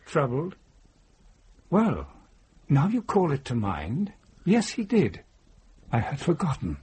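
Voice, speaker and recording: An actor playing a kindly, concerned and pious priory head in a medieval radio drama. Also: male voice, fairly high pitch, wildly swooping pitch, takes it slowly, darkish tone, husky, exaggerated voice. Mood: earnest. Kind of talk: period radio drama acting.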